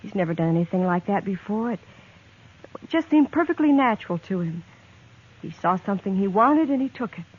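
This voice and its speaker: An American actress playing an earnest, quietly determined young frontier woman in a 1950s Western radio drama. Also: mid-range voice, animated, brisk, lightly acted. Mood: explaining, sincere. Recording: tinny, narrow-band 1950s radio recording.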